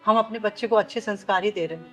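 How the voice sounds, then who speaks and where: A woman's voice: mid-range voice, rapid-fire, highs cut off, faint music behind, close to an everyday voice. A woman playing an overworked, exasperated wife and mother who complains indignantly in a family drama. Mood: guarded. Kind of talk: scripted Hindi drama acting.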